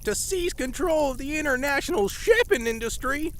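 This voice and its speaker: A man's voice: extremely high pitch, animated, moderate pace, a bit bright, a little hiss or hum. A man playing a cantankerous, folksy old grandpa in an audio drama, blustering with old-timey exclamations. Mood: stern scolding.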